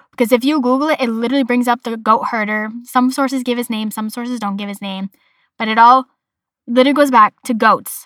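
A young woman with a Boston accent hosting a solo podcast, chatty and full of animated asides. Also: a little high, dry recording, studio quality. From Massachusetts, USA. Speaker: podcast host.

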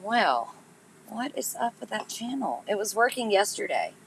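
A woman chats casually with her listeners on a live podcast. Her voice is raspy and gritty, stuffed up and nasal from congestion. She talks straight to her audience in a relaxed, self-mocking way. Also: mid-range voice, moderate pace, a little hiss or hum, low-quality recording. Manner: chatty, laughs at herself, talks straight to listeners. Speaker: podcast host.

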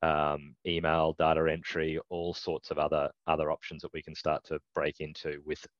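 Very low-voiced male guest, measured, dull and dry-sounding line. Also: dry recording. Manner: measured, explains in steady points. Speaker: guest, recruitment business owner. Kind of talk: casual business chat.

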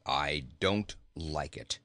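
A sour talking goat from a storybook, played by a man, pitched well down in a grumble. He is scornful and deadpan, drawing out his sarcasm at an unhurried pace. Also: full character voice. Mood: surly, grumbling. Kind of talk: storybook character voice.

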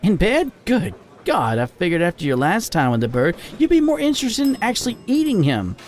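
A man's voice: high-pitched, wildly swooping pitch, fairly quick, a little hiss or hum, voice pushed up. A man voicing a flirty, free-spirited female animal character with a teasing, playful manner, as part of a story reading. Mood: mock shock.

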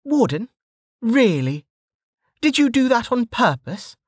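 A woman's voice: fairly high pitch, sing-song, takes it slowly, a little mellow, breathy edge, no room sound, clean studio sound, voice pushed up, full character voice. A narrator voicing a brash, cocky young woman fighter who talks in a bossy, taunting way. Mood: teasing.

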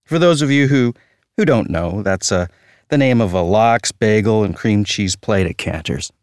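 A man's voice: on the low side, animated, normal speed, a little mellow, close to an everyday voice. An actor playing a chatty man reminiscing in a radio theater monologue. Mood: chatty, hesitant.

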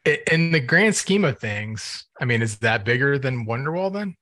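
A man's voice: medium pitch, expressive, moderate pace, pushed and bright, no room sound, clean studio sound. A man on a music podcast panel, chatting casually. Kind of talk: casual chat.

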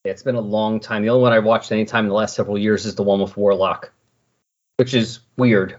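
Man, husky voice, podcast host, casual rambling recap, self-correcting, muffled lo-fi sound. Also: fairly low pitch, fairly even intonation, fast talker, darkish tone. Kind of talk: casual chat. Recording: dull, lo-fi sound.

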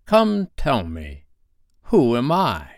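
Male narrator as villainous pirate captain, proud, theatrical, drawn-out phrasing, smooth tone, storybook reading. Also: mid-range voice, very animated, dry recording, clean studio sound. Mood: probing, uneasy. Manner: grandiose, theatrical, drawn-out delivery.

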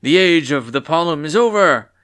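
A robed sorcerer proclaims in a booming, slow voice, as a man puts him on. He sounds commanding and theatrical, like a villain in a fantasy tale. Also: animated, a bit bright, clear, resonant tone. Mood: grandiose.

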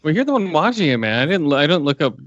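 A man's voice: a little high, very animated, quick pace, a bit bright, dry recording, dull audio. A man in his forties chatting over a video call, wry and opinionated. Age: 40s. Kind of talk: casual chat.

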